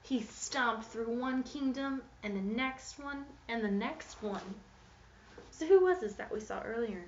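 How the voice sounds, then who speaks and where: A woman reads to children like a teacher, expressive and inviting them to answer. Her voice sits at a middle pitch. The recording is roomy and a bit dull, with noticeable noise behind her. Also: a little slow, far from the mic. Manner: expressive storytelling, posing questions to young listeners. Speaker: teacher reading a picture book aloud. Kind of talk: interactive children's read-aloud. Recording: echoey room, muffled, noisy background.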